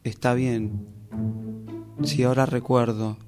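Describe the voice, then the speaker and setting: Radio drama role: evasive, absent-minded Argentine psychoanalyst played by a man, unhurried delivery, formal and hesitant, over faint music. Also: medium pitch, level tone, lightly acted. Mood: evasive, reassuring. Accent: Argentine Rioplatense. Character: distracted, evasive male psychoanalyst covering for himself. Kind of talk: amateur radio theatre acting.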